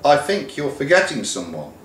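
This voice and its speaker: A man's voice: mid-range voice, wildly swooping pitch, moderate pace, a bit bright, far from the mic, a little hiss or hum, voice pushed up. A man voicing an absent-minded, cheerful old headmaster in a storybook reading, dotty and genial. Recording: roomy echo.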